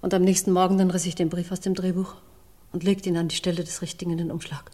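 A woman playing a distressed forty-year-old secretary confessing as a witness in a radio crime play, halting and faltering. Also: on the low side, flat delivery, dark tone, close to an everyday voice. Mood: remorseful, resolute. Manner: halting, faltering, repeating herself. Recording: long reverberant courtroom hall.

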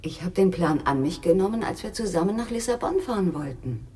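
An elusive noir lover in a radio drama. She is soft-spoken, with a low, dark and very husky, breathy voice. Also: expressive, brisk. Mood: subdued. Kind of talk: German radio play acting.

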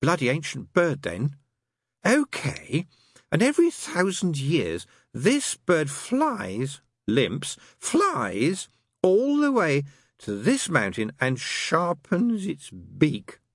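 Sly tipsy demon, slow and wheedling, pitch leaping up and down, breathy and dry, voiced by a man. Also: wildly swooping pitch, dry recording, full character voice. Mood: persuasive. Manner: wheedling, insistent, drawn-out.

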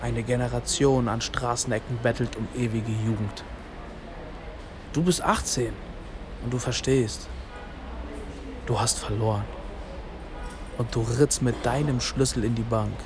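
A man's voice: medium pitch, fairly even intonation, unhurried, long pauses, noisy background, lightly acted. An actor playing a bitter young man from an immigrant neighbourhood in a radio drama monologue, speaking in rhythmic short phrases with insistent repetition. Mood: sad, resigned. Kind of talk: literary radio drama monologue, spoken-word delivery.

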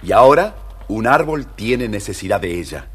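A man's voice: fairly low pitch, expressive, moderate pace, heavy background noise. A man narrating a scripted children's fairy tale as storyteller, theatrical, firm and explanatory.

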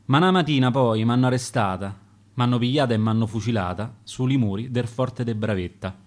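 A man's voice: mid-range voice, brisk, darkish tone, close to an everyday voice. A man reading the verse of a dead young woman who was a wartime partisan courier, in a Roman accent. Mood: grave. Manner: reciting dialect verse in rhyme.

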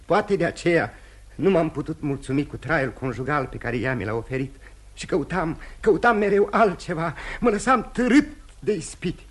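Remorseful dissolute gentleman, husky, widely swinging intonation, courteous, candid, theatrical radio play. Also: a little high, expressive. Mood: remorseful, agitated. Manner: theatrical, impassioned, halting with repetitions. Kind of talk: mid-century Romanian radio theatre acting.

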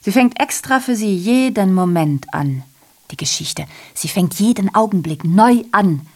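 An actress playing a female messenger in a literary radio drama monologue, recounting atrocity with biting irony in a deliberate, declamatory way. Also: medium pitch, expressive. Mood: wry, deliberate.

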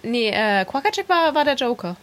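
A woman's voice: a little high, sing-song, normal speed. A woman co-hosting a podcast, reminiscing with nostalgic enthusiasm in a casual group chat. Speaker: podcast co-host.

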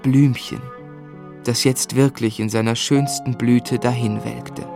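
An actor playing a gentle, melancholy gentleman who narrates his life in a literary, lyrical radio play style. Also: medium pitch, steady tone, takes it slowly, gravelly, music in the background. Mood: wistful. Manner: lyrical, reflective.

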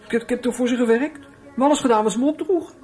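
A man's voice: very high voice, rapid-fire, warm tone. Casting: runaway teenage boy, lonely and defiant, muttered inner monologue, radio play with music. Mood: hurt, indignant. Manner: muttering to himself, bursts of indignation.

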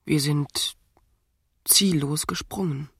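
A female actor playing an exasperated, reproachful bride in a radio play, husky and breathy, insistent and scolding. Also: sits low, takes it slowly, dry recording, studio quality, heavily acted. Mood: accusing. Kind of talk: radio play dialogue, often hushed.

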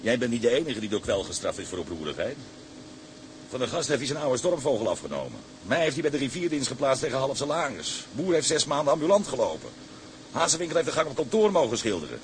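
An actor playing a proud, emphatic chief engineer of a tugboat in a radio drama. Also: male voice, mid-range voice, fast talker, a little hiss or hum, natural voice. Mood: proud, knowing. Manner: emphatic, animated.

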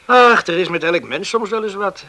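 A man's voice: sits high, animated, normal speed, sharp tone. A man acting a troubled, restless young islander who longs for the sea in a Dutch radio play, evasive and discontented.

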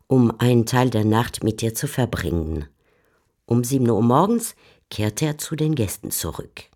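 Radio play narrator, medium-pitched voice, scripted literary narration. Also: a little mellow.

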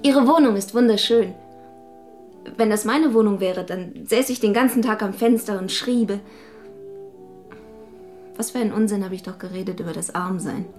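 A woman's voice: mid-range voice, normal speed, pauses often, darkish tone, soft background music, natural voice. An actress playing an eager, coy young woman with writing ambitions in a radio drama. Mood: earnest. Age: young adult.

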